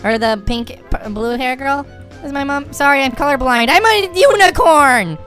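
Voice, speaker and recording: A woman's voice: sits high, expressive, normal speed, a bit bright, soft background music. A woman voicing a small, silly, cheeky talking pet unicorn with a babyish, dramatic delivery in a game roleplay. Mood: earnest, silly. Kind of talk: improvised video game roleplay.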